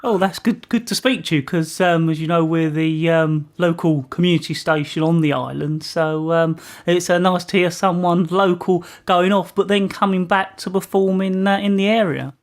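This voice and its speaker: A man hosting an informal community radio interview, asking questions in a hesitant, halting way. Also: sits high, steady tone.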